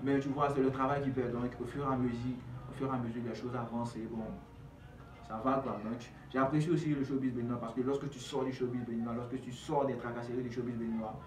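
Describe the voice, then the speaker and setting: A man's voice: mid-range voice, flat delivery, quick pace, distant mic. A young man with an Ivorian accent, a rapper giving casual interview answers in street slang. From Ivory Coast. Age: young adult. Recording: echoey room, off-mic, clearly noisy.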